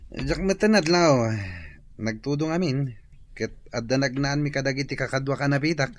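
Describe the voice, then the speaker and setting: A man's voice: mid-range voice, a little slow, natural voice. A man acting the casual, entitled young son of a household in a radio drama, with a noisy background. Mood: casual, brusque.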